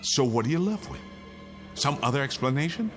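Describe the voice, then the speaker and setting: A man's voice: mid-range voice, animated, a little fast, a bit bright, faint music behind, natural voice. An actor playing a weary, insistent condemned man pleading his case in a radio drama. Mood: agitated, arguing. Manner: insistent, pleading, arguing in rhetorical questions. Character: condemned male prisoner insisting the world is his nightmare, weary, urgent.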